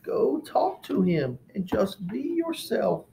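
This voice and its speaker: A man doing a soft-spoken old grandmother. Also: high-pitched, big pitch swings, slow, vocal fry, a little hiss or hum, voice pushed up, exaggerated voice, rough recording. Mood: gentle, wise. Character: warm wise old Cuban grandmother.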